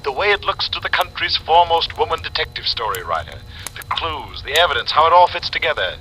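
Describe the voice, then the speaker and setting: An actor in 1940s radio style playing a brisk, slick newspaper syndicate man with a clipped, persuasive salesman's delivery. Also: on the high side, big pitch swings, bright, forceful tone, poor audio. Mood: eager, coaxing. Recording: vintage radio broadcast, faint noise.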